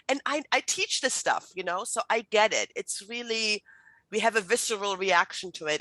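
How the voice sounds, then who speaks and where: A woman's voice: on the low side, sharp tone, dry recording, rough recording. A middle-aged woman from Germany, German native, giving a chatty online writing workshop with many fillers and restarts. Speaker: writing instructor. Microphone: video call.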